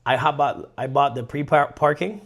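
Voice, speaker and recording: A man in his thirties from Colombia, telling stories in a rambling, animated way on a casual podcast. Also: a little high, normal speed. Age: 30s. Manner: rambling, animated storyteller with restarts. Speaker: podcast co-host. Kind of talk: casual chat.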